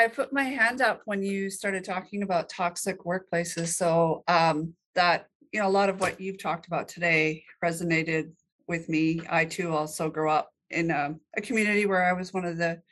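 A woman's voice: lowish voice, fairly bright tone. A woman in the audience of a virtual talk, speaking candidly and hesitantly. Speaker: audience member asking a question. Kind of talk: casual remarks in a Q&A.

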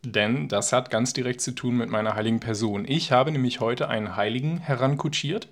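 A man with a mid-pitched voice co-hosts a podcast. He talks casually, as if to a friend, and slips playful teasing into his storytelling. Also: a little fast. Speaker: podcast co-host.

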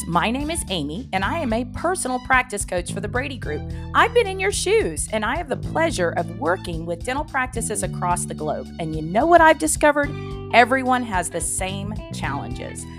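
A woman's voice: mid-range voice, sing-song, moderate pace, over music. A woman with a mid-range voice hosts a coaching podcast. She addresses the listener directly and emphatically, using rhetorical questions to drive her points home as a practice coach.

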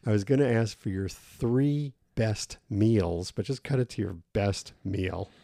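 A man's voice: fairly low pitch, unhurried, creaky, warm tone, no echo, studio quality. A man hosting a casual podcast interview, asking questions and giving brief encouraging interjections. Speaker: podcast host.

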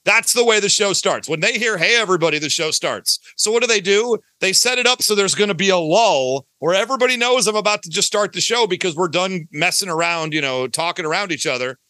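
A male podcast guest with a high, bright, forward voice. He talks casually in a playful, showman-like way full of banter, recorded dry and close. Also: lively intonation, fairly quick, hardly any pauses, dry recording. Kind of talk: casual interview chat.

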